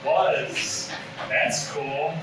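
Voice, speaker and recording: A man's voice: on the high side, wildly swooping pitch, drawn-out words, exaggerated voice. A man playing a blunt, unimpressed bartender with an offhand, curt way of talking in a live comedy table read. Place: live reading in an echoey room. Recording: echoey room, distant mic, clear background noise.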